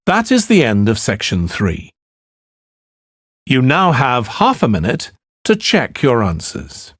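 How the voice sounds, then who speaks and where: A man reading formal, deliberate scripted instructions as an exam announcer. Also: mid-range voice, huge pitch swings, fairly bright tone, breathy edge, no echo, clean studio sound.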